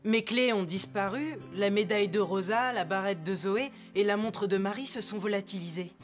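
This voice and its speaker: A woman's voice: medium pitch, moderate pace, soft background music, natural voice. A woman playing a level-headed, questioning teenage girl in a French cartoon dub. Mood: probing.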